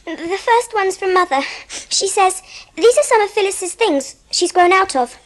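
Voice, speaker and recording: A woman's voice: extremely high pitch, expressive, measured pace, low-quality recording. An actress playing an earnest, polite, well-spoken young girl with an English accent in a dramatised audiobook. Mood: earnest, hurt.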